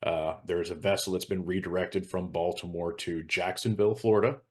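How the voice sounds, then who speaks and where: American male, very low register. Hazmat specialist on a panel, informal but measured and matter-of-fact. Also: steady tone. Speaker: hazardous materials expert on a panel show. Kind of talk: informal explanatory discussion.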